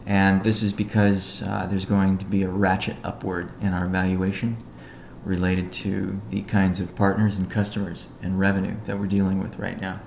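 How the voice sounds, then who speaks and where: A man giving a business briefing on a conference call as a company executive, in a measured, halting way with technical jargon. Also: sits low, monotone, vocal fry, darkish tone. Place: conference call, echoey room. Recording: echoey room, faint background noise.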